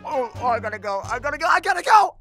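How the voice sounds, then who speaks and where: Man voicing a frantic, high-pitched cartoon hedgehog guy. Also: very animated, quick pace, bright, forceful tone, soft background music, exaggerated voice. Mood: disgusted panic. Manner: overdramatic, stammering, repeats phrases. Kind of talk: comic dub cartoon acting.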